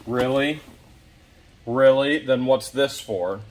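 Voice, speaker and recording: A man's voice: medium pitch, slow. An American man in his forties chatting casually in short, joking asides as he hosts a vlog. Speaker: vlog host, storage unit buyer. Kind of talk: casual chat while sorting through finds. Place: inside a metal storage unit. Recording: noisy handheld recording in storage unit.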